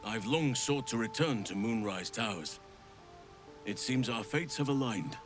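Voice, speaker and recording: A man playing a wise, solemn archdruid in a video game, speaking in a measured, formal and regretful way. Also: medium pitch, animated, over music, natural voice. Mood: earnest, regretful. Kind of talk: video game dramatic dialogue.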